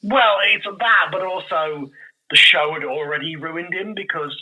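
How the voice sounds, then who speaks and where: A man's voice: fairly high pitch, measured pace, muffled recording. A man talking casually and enthusiastically as an interview guest, animatedly acting out imagined reactions as he explains. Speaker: guest, audio drama creator.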